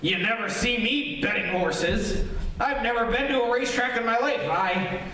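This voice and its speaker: A man's voice: extremely high pitch, normal speed, bright, forceful tone, slight background noise. A man playing an exasperated, sarcastic, bickering husband in old-time radio comedy style. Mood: exasperated, aggrieved. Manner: griping, sarcastic. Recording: very echoey hall, distant microphone.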